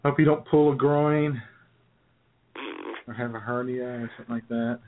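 A man's voice: mid-range voice, steady tone, normal speed, a little hiss or hum. A man co-hosting a podcast, teasing his partner and laughing often in casual banter. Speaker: podcast co-host. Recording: tinny phone-like sound.

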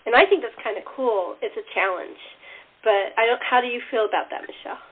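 A woman hosting a casual cast interview, speaking informally and haltingly as she rephrases her questions. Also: a little high, husky. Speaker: interviewer and fellow cast member. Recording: tinny, phone-like narrow band.

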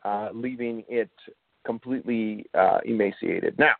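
A man hosting an informal solo explainer show, speaking haltingly with many filler pauses as a native English speaker. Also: on the low side, creaky. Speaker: show host. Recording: roomy, phone-like tinny line.

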